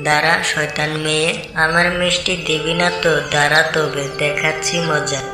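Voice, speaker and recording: Low, flat-toned gruff sweet-seller, a woman acting. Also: moderate pace, natural voice. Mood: irritated, scolding. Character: short-tempered male village sweet-seller. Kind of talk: cartoon folk-tale voice acting. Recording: echoey, loud music underneath.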